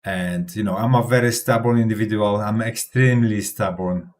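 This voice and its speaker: Host, male, low-ish voice, confident rambling crypto livestream chat. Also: moderate pace. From Poland. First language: Polish. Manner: rambling, self-assured, laughs often, restarts words. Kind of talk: casual livestream commentary.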